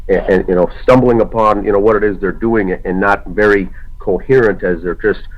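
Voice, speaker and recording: Slightly hoarse man hosting with halting delivery. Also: fairly low pitch, fairly even intonation. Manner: halting, many fillers and restarts. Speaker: podcast host. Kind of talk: casual discussion broadcast. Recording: lo-fi muffled audio.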